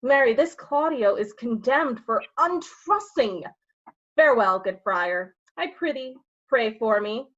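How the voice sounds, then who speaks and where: Muffled recording, female actor as brazen Shakespearean rogue, high-ish voice, insinuating and bawdy. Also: animated, unhurried, a bit bright. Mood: bawdy, jaunty. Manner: cheeky, insinuating, theatrical. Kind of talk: staged reading of Shakespeare verse and prose.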